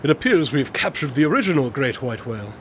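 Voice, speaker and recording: A man's voice: medium pitch, very animated, fast talker, fairly bright tone, slight background noise. A man acting in a radio drama as a brilliant, aloof detective, speaking formally and precisely. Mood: dry, measured. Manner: precise, formal, matter-of-fact. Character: brilliant, aloof male detective explaining his deductions.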